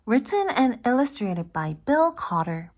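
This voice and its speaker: Woman, children's read-aloud, high pitch, highly animated, playful, addressing the young listener. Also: unhurried. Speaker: picture book reader.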